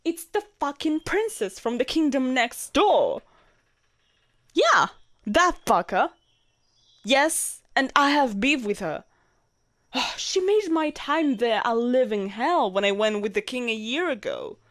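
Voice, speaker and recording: A woman playing a jealous, protective royal knight in an intimate audio roleplay, expressive and blunt in manner. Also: sits high, slow, dry recording, studio quality, heavily acted. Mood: indignant, bitter. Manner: expressive, blunt, sweary, teasing. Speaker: voice actor in a romantic audio roleplay.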